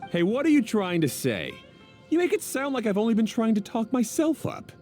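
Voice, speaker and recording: Protective game brother, adventurer, defensive, emphatic, warm dark tone, lively intonation. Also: male voice, extremely high pitch, moderate pace. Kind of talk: video game voice acting. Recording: music playing behind the voice.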